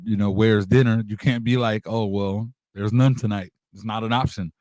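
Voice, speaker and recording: A man's voice: fairly low pitch, steady tone, moderate pace, a little mellow, no echo. A man hosting a casual radio interview in an informal, slangy way with appreciative interjections. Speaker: radio show host.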